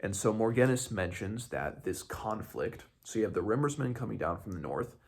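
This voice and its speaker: Very deep, creaky-voiced man, talking haltingly. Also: expressive, darkish tone. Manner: halting, searching for words, self-correcting. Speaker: host of a book read-along. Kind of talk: casual book discussion with some read-aloud passages.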